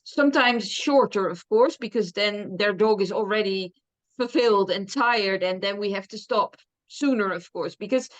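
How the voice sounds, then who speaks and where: A woman with a Dutch accent talks at an unhurried pace as an interview guest. She sounds enthusiastic and chatty, laughing often and filling pauses with "uh" and "um". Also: mid-range voice. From Netherlands. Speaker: dog trainer guest. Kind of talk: casual interview chat.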